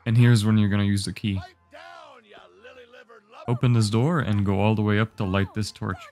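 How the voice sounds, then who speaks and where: Low-voiced man narrating a game walkthrough. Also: moderate pace, faint music behind. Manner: relaxed instructive, easygoing. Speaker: game guide host.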